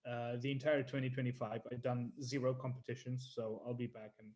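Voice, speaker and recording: A young man from Croatia, a native Croatian speaker, chatting casually in English with a relaxed, laid-back manner and repeated words. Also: mid-range voice, a little fast, low-quality recording. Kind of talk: casual live-stream chat.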